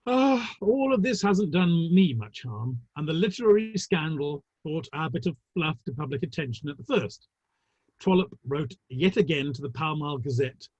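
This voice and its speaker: A man playing a wry, gossipy, worldly Victorian theatrical gentleman in a play reading, with formal phrasing and dry wit. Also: a little high, slightly rough, no echo, highs cut off. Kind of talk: online dramatic play reading.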